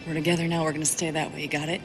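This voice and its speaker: Actress as tender wife, music swelling. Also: female voice, low voice, little pitch movement, very fast, close to an everyday voice. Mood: tender, resolute. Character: tender, resolute wife of the hero. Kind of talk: dramatic video game acting. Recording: loud music behind.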